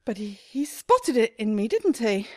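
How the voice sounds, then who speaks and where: A woman's voice: a little high, sing-song, normal speed, dry recording, clean studio sound. A woman acting in a radio play as a stressed, despairing shop owner, weary, clipped and self-pitying. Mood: resigned, despairing.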